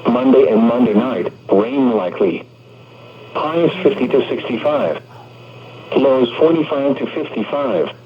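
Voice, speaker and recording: A synthetic male voice reading an automated forecast as a weather radio announcer, in impersonal, measured, clipped phrases over a radio broadcast. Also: mid-range voice, expressive. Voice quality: synthetic text-to-speech voice. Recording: muffled, noisy weather radio reception.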